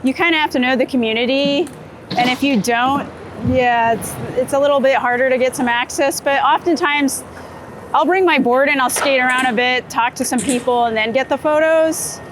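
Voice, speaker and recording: An American woman with a slightly high voice talks in a relaxed, conversational way. She is chatty and laughs easily, like someone being interviewed on the go. There is light city and vehicle noise behind her. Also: moderate pace. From United States, Florida. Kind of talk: casual chat while out and about. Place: on location in a city, rooftop, car and street.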